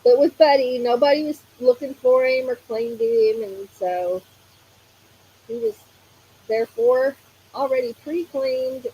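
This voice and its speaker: A woman hosting a crafting livestream, chatting casually and reading comments aloud. She is a bit hoarse from allergies, uses a lot of fillers and often breaks into laughter. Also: a little high, mellow, a little hiss or hum, poor audio.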